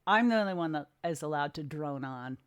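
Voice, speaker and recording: A woman hosting an interview in a casual, chatty way, with an informal, halting delivery on a close mic. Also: low voice, expressive, smooth, no room sound. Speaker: interview host.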